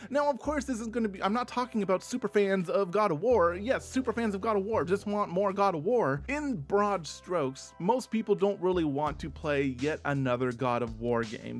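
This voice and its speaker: A man with a slight lisp hosting a rambling, self-mocking rant about viewer comments, full of dry sarcasm. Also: sits high. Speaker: YouTube host.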